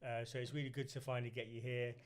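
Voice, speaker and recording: A man's voice: mid-range voice, flat delivery, very fast. Man, southern English accent, podcast host, mid-range voice, casual interview talk. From UK, grew up in Reading.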